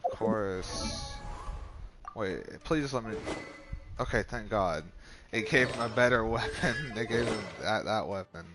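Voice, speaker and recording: A man's voice: on the low side, measured pace. A young man commentating casually as he plays a video game, loose and reactive, talking back to the game. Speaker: gamer, video host. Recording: loud game music behind the voice.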